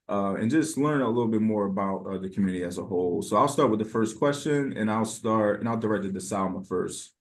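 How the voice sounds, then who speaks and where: A man hosting an interview, polite and halting, with frequent fillers. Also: fairly low pitch. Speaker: interviewer, host.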